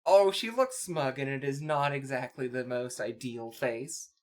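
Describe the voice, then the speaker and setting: A podcast co-host talks casually through a comic. The delivery is wry and halting, with small nitpicks, in a mid-range pitch. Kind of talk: casual chat, comic commentary.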